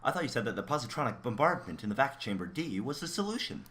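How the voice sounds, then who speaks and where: A man acting as an overworked, excitable robotics researcher in a radio play, informal and gossipy. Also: medium pitch, fast. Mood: agitated, chatty. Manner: animated, exclaiming, informal.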